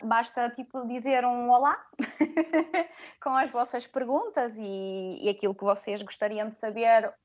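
A woman's voice: fairly high pitch, measured pace, muffled recording. A woman who grew up in Venezuela and Madeira, speaking as an interview guest. She talks in a hesitant way, with frequent fillers and tag questions. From Venezuela, raised from 12 in Madeira. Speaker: guest, language teacher. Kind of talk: conversational interview.

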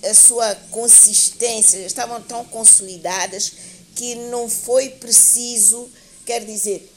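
A woman speaking as a guest teacher in a panel discussion, explaining in a deliberate, academic way and often correcting herself as she goes. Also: a little high. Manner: deliberate, academic, hesitant with repeated self-corrections. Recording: clear background hiss.

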